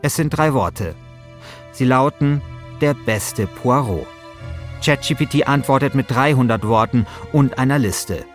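A man's voice: medium pitch, animated, a little slow, soft background music. A man hosting a podcast in German, with a wry, playfully grandiose way of telling things. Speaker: podcast host. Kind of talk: scripted narration and casual conversation.

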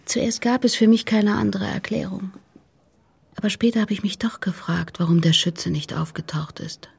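An actress playing a grieving, dignified mother in a German radio drama, husky, measured and reserved. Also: medium pitch, steady tone. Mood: grave, convinced.

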